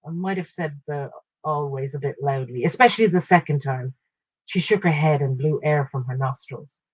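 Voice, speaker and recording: A woman narrates a short story with a husky edge to her voice. She reads in measured, expressive phrases at a middling pitch. The recording is dry and slightly dull, like a modest home setup. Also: dry recording.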